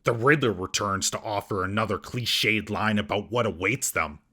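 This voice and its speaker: A man's voice: on the low side, normal speed, pushed and bright. A man narrating a scripted comedic movie roast as host, in a sarcastic, mocking manner.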